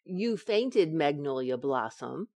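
An actress playing a playful, teasing wife who coaxes and soothes in an old-time radio sitcom. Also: female voice, deep voice, big pitch swings, slow, warm tone, heavily acted. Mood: coaxing. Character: playful, teasing young wife, coaxing and unflappable.

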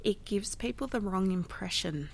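Woman playing a prim, creaky-voiced official. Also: mid-range voice, expressive, normal speed. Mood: prim, reproving. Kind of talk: audio drama acting.

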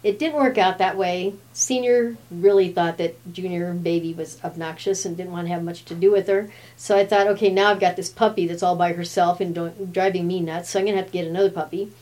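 A chatty woman in her fifties with a fairly low voice. She grew up in Brazil and speaks Portuguese as her first language, so she sounds like a relaxed guest at ease in a casual talk. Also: a little fast, few pauses. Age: 50s. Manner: chatty, laughs often. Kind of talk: casual chat.

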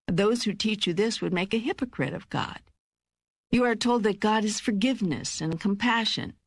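God in a spiritual audiobook as a patient, all-knowing teacher. The delivery is slow and deliberate with weighty pauses. The voice is a little husky, in a dry, close studio sound. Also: female voice, on the low side, no echo. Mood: earnest, questioning. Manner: measured, deliberate, emphatic pauses. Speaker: audiobook narrator. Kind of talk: scripted audiobook reading of spiritual dialogue.

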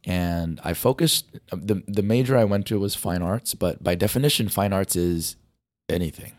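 A man's voice: low-pitched, normal speed, no room sound, clean studio sound. A middle-aged man from Hawaii who teaches motion design, answering interview questions in a rambling way with many fillers while losing his voice.

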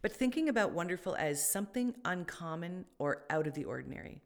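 A woman's voice: fairly low pitch, lively intonation, fairly quick. A woman co-hosting a faith podcast, talking casually and thoughtfully, with many fillers and restarts. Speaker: podcast co-host.

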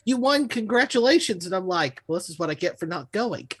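American guy, podcast cohost, voice on the higher side, just chatting casually. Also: big pitch swings, fairly quick, fairly bright tone, husky. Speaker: podcast co-host and fiction writer. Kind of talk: casual chat.

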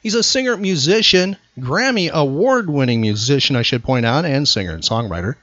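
A man's voice: medium pitch, lively intonation, fairly quick, fairly bright tone, no room sound, muffled recording. A man hosting a podcast trivia quiz, putting questions to a contestant. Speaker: podcast host and quizmaster.

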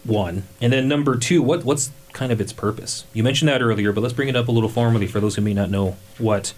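A man hosting a radio interview, putting his questions to the guest in a measured, point-by-point way. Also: medium pitch, darkish tone. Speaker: radio host.

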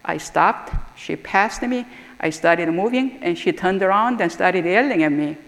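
A woman's voice: lowish voice, animated. Woman, Korean accent, middle-aged, school dean, earnest reflective talk, hesitant fillers, long hall echo. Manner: earnest, reflective, pauses often with "uh". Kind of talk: personal talk or lecture. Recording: echoey hall with long reverb.

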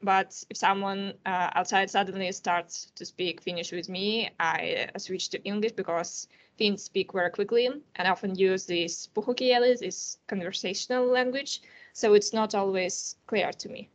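A nineteen-year-old woman from Russia, a native Russian speaker with a Russian accent, answering interview questions as a student guest, careful and often pausing to hesitate. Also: mid-range voice, steady tone, highs cut off. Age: teens.

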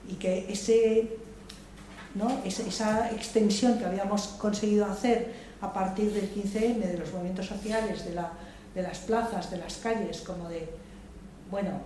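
A woman's voice: mid-range voice, steady tone, measured pace, dark tone. An older woman from Madrid speaking at a public debate, reflective and hesitant, with many restarts and repeated words. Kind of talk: spontaneous political talk at a public debate. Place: large hall, public debate session. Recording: echoey room, voice from far off, faint noise.

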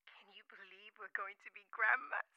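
A woman's voice: mid-range voice, moderate pace, vocal fry. A woman playing a gracious, forgiving middle-aged mother in an audio drama, heard through a telephone filter. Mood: excited. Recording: narrow, tinny telephone line.

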